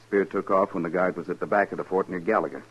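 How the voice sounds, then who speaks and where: An actor playing a dutiful, courteous cavalry lieutenant, formal and military, in a 1950s radio western. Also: male voice, low voice, steady tone, quick pace, a bit bright, husky. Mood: grave, businesslike. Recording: dull lo-fi old radio broadcast.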